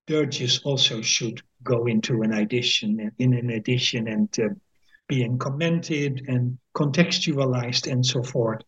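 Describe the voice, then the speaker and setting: An older Dutch-accented man speaks very slowly, in a husky voice that sits somewhat high for a man. He talks thoughtfully, pausing, restarting and filling gaps. The audio is dull and lo-fi. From Netherlands. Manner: thoughtful, hesitant, many fillers and restarts; slow, measured reading of translated poetry. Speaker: guest author, emeritus professor. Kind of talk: podcast interview.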